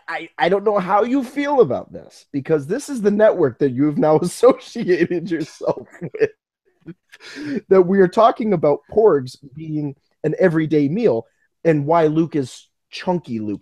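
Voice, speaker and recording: An American man co-hosting a casual live fan podcast, talking in an excitable, joking way. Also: a little high, unhurried, no room sound. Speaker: podcast co-host.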